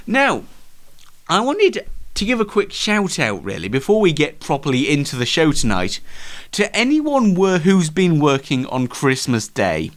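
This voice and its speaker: Animated man hosting comedy show. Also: mid-range voice. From United Kingdom. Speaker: show host. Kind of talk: light comedic monologue.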